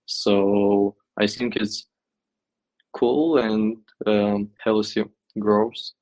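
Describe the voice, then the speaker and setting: A man from Ukraine, a startup guest answering interview questions in measured, English. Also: lowish voice, flat delivery, darkish tone, rough recording. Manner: measured, non-native phrasing. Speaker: guest, tech startup representative.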